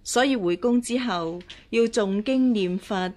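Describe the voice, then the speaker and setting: An actress playing a scheming, regal empress dowager with a measured, dismissive manner, in Hong Kong Cantonese radio drama. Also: female voice, mid-range voice, darkish tone, lightly acted. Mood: dismissive, composed. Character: haughty, scheming empress dowager, mother of a princess.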